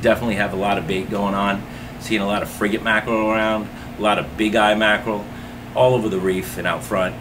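Low-voiced middle-aged man in a humming shop. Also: flat delivery, fast, background noise. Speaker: tackle shop owner. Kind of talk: casual shop report. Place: tackle shop indoors.

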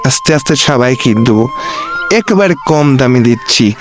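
A man voicing an excitable, scheming fox husband in a cartoon, with dramatic exclamations. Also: medium pitch, moderate pace, lightly acted. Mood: scheming, hawking. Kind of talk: cartoon voice acting.

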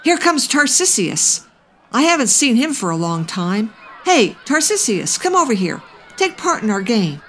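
A woman's voice: a little high, sing-song, a little slow, fairly bright tone, slight background noise, voice pushed up. A woman voicing a cruel, demanding big schoolboy with a taunting, pushy manner in a children's storybook. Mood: bossy. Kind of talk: children's religious storybook reading.

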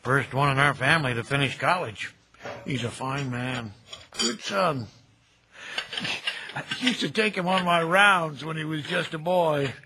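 An actor playing a kindly, folksy older cemetery caretaker in an audio drama, chatty and gentle in a homespun, nostalgic way. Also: male voice, on the high side, measured pace, very noisy. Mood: warm, chatty. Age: middle-aged. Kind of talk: naturalistic radio drama dialogue.

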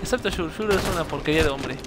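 A man's voice: fairly high pitch, fast talker, over loud music. A young man, casually streaming a game and teasing his friend. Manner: teasing, playful insults. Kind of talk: casual gaming livestream banter.

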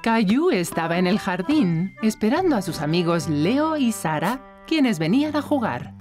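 A woman's voice: medium pitch, very animated, a little slow, over music. A woman with a Latin American accent reading scripted narration as the storyteller of a children's cartoon.

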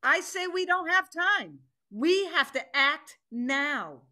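A middle-aged woman playing a fierce, determined tiger in a dramatic play reading, speaking deliberately and with command. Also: sits high, big pitch swings, sharp tone, exaggerated voice. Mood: grim resolve. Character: fierce female tiger, stern, determined hunter. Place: home room, video call.

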